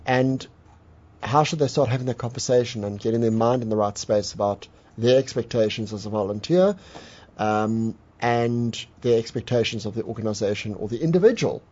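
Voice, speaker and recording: A man's voice: medium pitch, normal speed, darkish tone, highs cut off. A man hosting a radio show, talking to listeners and asking long, rambling questions. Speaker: radio host. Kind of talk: radio interview.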